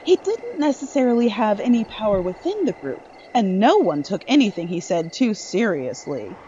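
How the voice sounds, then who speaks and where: A woman narrates a dark audio drama with expressive, swooping intonation. The sound is slightly muffled, with faint noise behind her. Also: mid-range voice, moderate pace. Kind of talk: dramatic scripted horror-story narration.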